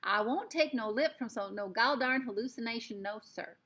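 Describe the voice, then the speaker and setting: A woman voicing a tiny, self-pitying, hard-drinking cowboy man with a put-on Texas drawl and a rough edge. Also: on the high side, normal speed, bright, forceful tone. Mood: aggrieved. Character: crying drunk tiny Texan cowboy man.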